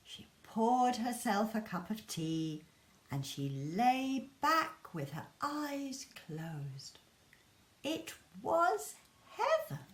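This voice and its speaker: A woman's voice: a little high, sing-song, drawn-out words. A woman reading a children's story expressively as a storyteller. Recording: echoey room.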